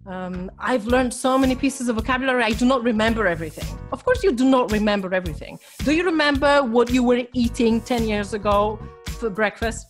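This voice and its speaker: Polish lady coaching language learners, lots of ums and restarts, music under her voice. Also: on the high side. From Poland. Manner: hesitant, with many ums and restarts. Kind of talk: motivational talk to course members.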